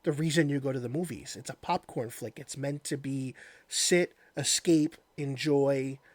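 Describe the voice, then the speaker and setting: A man's voice: mid-range voice, a little slow, no echo. A man hosting a casual interview, talking in an agreeable, enthusiastic fan's manner. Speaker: interview host. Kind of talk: casual chat.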